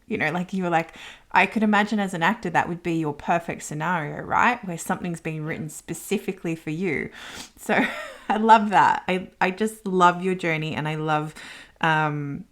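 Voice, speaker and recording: A woman's voice: sits low, creaky. A woman hosting a conversational interview, speaking in an effusive, affirming way. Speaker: interview host.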